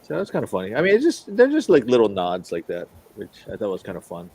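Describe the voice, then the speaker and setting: Low voiced guy just chatting on a podcast, kinda rambly and unsure. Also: wildly swooping pitch, fast talker, dark tone, slight background noise. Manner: rambling, hesitant, hedging. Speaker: co-host of a drawing podcast. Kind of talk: casual chat.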